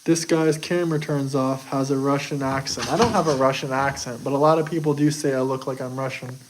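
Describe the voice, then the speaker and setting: A man's voice: mid-range voice, steady tone, fairly quick, a little hiss or hum, low-quality recording. Young man rambling to his stream chat. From United States. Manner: boastful, defensive, rambling. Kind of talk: casual live chat.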